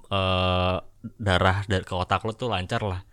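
A man's voice: low-pitched, flat delivery, moderate pace, fairly bright tone, clean tone. A young man with a Jakarta Indonesian accent, chatting casually and jokingly with friends in slang as a podcast host. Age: young adult.

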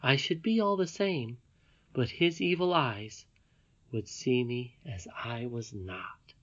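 A woman voicing a calm, wise, ageless grandmother figure from a storybook, in a measured, patient way. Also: extremely low pitch, exaggerated voice. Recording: dull lo-fi recording.

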